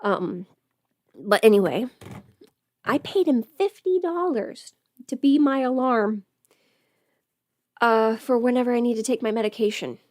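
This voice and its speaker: A woman's voice: on the high side, expressive, unhurried, long pauses, darkish tone, dry recording. Chatty young woman, close dry sound. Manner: rambling, self-interrupting, informal, chatting to viewers. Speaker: livestreamer and audio performer. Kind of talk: casual livestream chat while putting on makeup.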